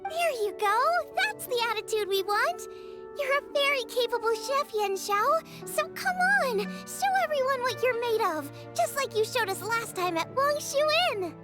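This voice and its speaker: Very high-pitched, bubbly girl chef. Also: animated, normal speed. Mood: encouraging. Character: cheerful, encouraging, earnest young girl chef. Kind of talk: English video game voice acting. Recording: music behind the voice.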